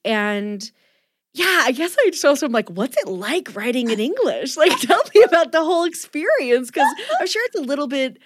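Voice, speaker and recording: A woman hosting an interview, asking questions in a chatty, rambling way full of "like" and quick laughs. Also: high voice, big pitch swings. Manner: chatty, lots of "like", self-aware laughs. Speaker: podcast host. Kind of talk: casual interview chat.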